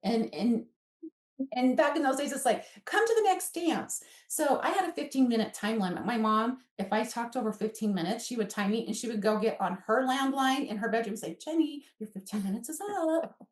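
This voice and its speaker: A woman's voice: on the high side, fairly quick, recorded from a distance. Woman, fifties, American accent, casual storyteller, quotes remembered dialogue, roomy echo, slightly off-mic. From Alaska, United States. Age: 50s. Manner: animated, acts out remembered dialogue. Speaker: guest author.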